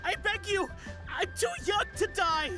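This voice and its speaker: A high-pitched, pleading young villager. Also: female voice, a little slow. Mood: panicked, pleading. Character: frightened young villager begging for help. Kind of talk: English video game voice acting. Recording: game music behind.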